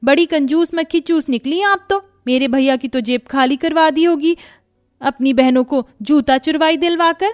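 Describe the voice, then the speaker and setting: A woman's voice: high voice, normal speed, pitched above the performer's own voice, natural voice. A woman voicing a haughty, spoiled young unmarried sister-in-law in a cartoon, with whiny, sneering taunts. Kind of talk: cartoon voice acting.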